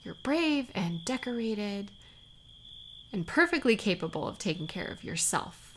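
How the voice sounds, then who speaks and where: A woman acting as a tender, worried lover of a warrior in an intimate audio roleplay, speaking softly and haltingly, sometimes close to a whisper. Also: medium pitch, very animated, slight background noise. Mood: tender, admiring. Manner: soft, halting, sighing, sometimes near whisper.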